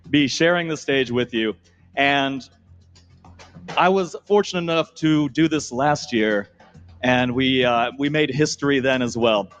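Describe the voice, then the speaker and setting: A man's voice: mid-range voice, dull audio. A man co-hosting a live pageant on an arena stage, upbeat and joking with his co-hosts. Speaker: pageant co-host.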